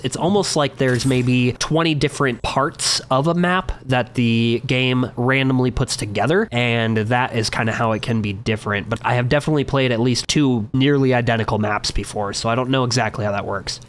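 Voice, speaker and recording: A man's voice: mid-range voice, a bit bright, slight background noise. A young man hosting a gaming news channel, casually reviewing a shooter game while sick and sounding nasal, with a chatty, filler-heavy way of talking.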